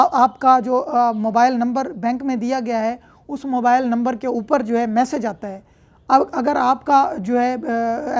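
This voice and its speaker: Female law lecturer, Pakistani accent, highish pitch, teaches step by step. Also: darkish tone. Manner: explains step by step with everyday examples and frequent check-in tags.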